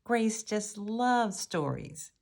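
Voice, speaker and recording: A woman reading a children's story aloud with measured, deliberate phrasing. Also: mid-range voice, expressive, vocal fry, darkish tone. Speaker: storybook reader.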